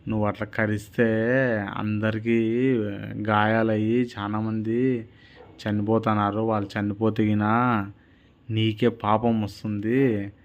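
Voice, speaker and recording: Man as low-voiced, fearless old holy man. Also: level tone, slow pace, long pauses, lo-fi sound. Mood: stern counsel. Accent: Rayalaseema Telugu. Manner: plain-spoken, admonishing, confident.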